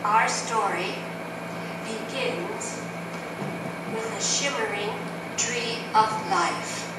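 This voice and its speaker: A woman narrates a live puppet show in slow, deliberate phrases, her voice pitched a little high. She is caught from far off in a very echoey space, with loud noise underneath. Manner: measured, phrase-by-phrase storytelling.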